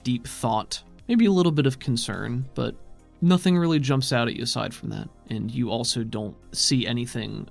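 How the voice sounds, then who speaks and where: Game master, male, medium pitch with creaky fry, narrating a tabletop story over faint music. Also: normal speed.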